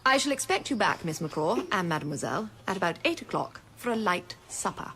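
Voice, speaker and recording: Stern, imperious headmistress, swooping pitch. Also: female voice, big pitch swings, bright, forceful tone, exaggerated voice, rough recording. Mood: imperious, formal. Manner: pompous, measured, formal diction. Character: stern, formal headmistress of a girls' college, imperious. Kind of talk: radio drama acting. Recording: faint background noise, old radio recording.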